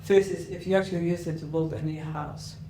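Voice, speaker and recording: Guy from somalia on a podcast, kinda high voice, asks lots of "right?" questions, roomy echo, bit far off and noisy. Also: level tone, a little fast, dark tone. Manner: reflective, explains with examples and rhetorical questions. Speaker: podcast guest. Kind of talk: casual interview talk. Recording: echoey room, mic at a distance, noisy.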